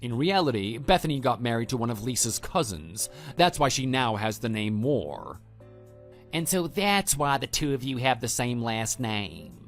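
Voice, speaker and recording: A man voicing a stern, principled former schoolteacher in a scripted audio drama, speaking in a measured, matter-of-fact way. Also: mid-range voice, very animated. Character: stern, principled male former homeroom teacher, calm and reproving. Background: background music.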